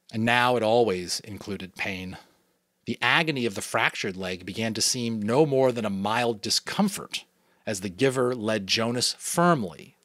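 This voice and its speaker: A man with a New England American accent giving an expressive dramatic reading of a novel, with chatty asides to listeners. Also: lowish voice, dry recording, studio quality. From New England, United States. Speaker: audiobook reader.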